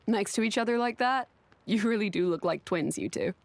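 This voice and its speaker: A woman's voice: mid-range voice, fairly quick, no echo, lightly acted. Woman playing a frank, proud young heroine. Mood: candid. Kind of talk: video game cutscene acting.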